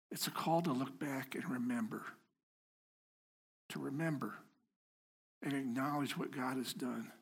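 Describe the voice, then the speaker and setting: A man's voice: mid-range voice, slightly rough. A man giving an informal sermon to a congregation in a house of worship, talking in a conversational, self-deprecating way and joking with the people in front of him. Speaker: lay preacher.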